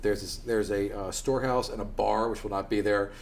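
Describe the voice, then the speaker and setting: A man's voice: fairly low pitch, steady tone, brisk, vocal fry. Guy game mastering a tabletop rpg, making up narration, echoey room, bit of noise. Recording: roomy sound with faint background noise.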